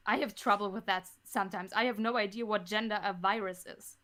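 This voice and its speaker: A woman's voice: medium pitch, steady tone, a little fast, bright, forceful tone. A woman whose first language is German, chatting casually as a guest on a podcast. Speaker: podcast guest, audio drama creator. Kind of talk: casual chat.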